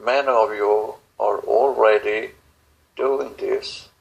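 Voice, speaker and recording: Very slow, gravelly man, halting delivery. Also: on the low side, steady tone, poor audio. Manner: halting, solemn, broken phrases with long pauses. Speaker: channeler speaking as star beings in a guided session. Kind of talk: channeled spiritual message.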